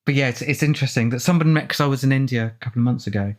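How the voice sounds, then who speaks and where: A man chatting casually in a discursive, thoughtful way, as in a relaxed film commentary. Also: mid-range voice, very fast. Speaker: author and film commentary speaker.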